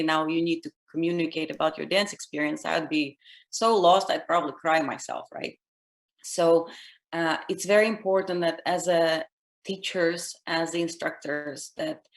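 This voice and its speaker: A woman with a deep, low voice, speaking English as a later language. She explains things earnestly and step by step, checking in with "right?" as she goes. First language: Russian. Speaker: dance instructor, guest. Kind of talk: conversational interview answer.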